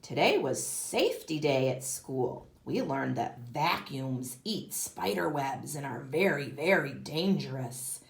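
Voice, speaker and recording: Woman, kindergarten teacher, animated read-aloud, unhurried pace, child-directed questions, echoey room. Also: sits low, creaky. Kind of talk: read-aloud of a picture book with asides to children. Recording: roomy, echoey sound.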